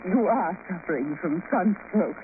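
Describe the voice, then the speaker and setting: A woman playing a proud, scornful aging wife in a theatrical, deliberate 1950s radio melodrama manner. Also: lowish voice, animated. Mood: cool, mocking. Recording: noisy, tinny vintage radio recording.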